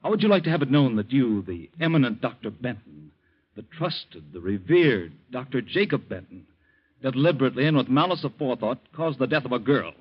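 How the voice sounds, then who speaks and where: An actor playing a bitter, accusing young son with an American accent, in an intense, clipped old-time radio style. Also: male voice, medium pitch, sing-song, fairly quick, slightly rough. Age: young adult. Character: bitter, accusing young son, grieving his murdered sweetheart. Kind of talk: 1940s radio acting. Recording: muffled old radio recording.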